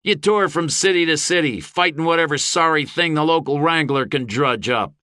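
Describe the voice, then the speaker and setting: A man's voice: a little high, normal speed, no room sound, studio quality, voice pushed up. A man voicing a rousing fantasy mercenary leader in an audiobook, giving an impassioned, declamatory speech to an army before battle. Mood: scornful, challenging. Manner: impassioned, declamatory, shouting oratory. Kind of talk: audiobook fantasy character acting.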